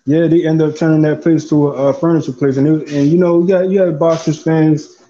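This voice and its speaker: A man from Philadelphia talks loosely and at length, padding his lines with "you know what I'm saying". His voice sits a touch high yet sounds dark and mellow. The audio is dull and lo-fi. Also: fairly even intonation, brisk. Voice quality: says he has a deep voice. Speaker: guest caller. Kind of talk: casual chat.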